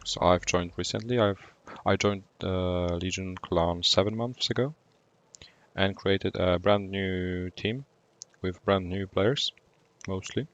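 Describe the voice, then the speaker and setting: Low-voiced Polish man streaming a game. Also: takes it slowly, dull audio. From Poland. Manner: relaxed, thinking aloud with fillers. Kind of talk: gameplay commentary and chat replies.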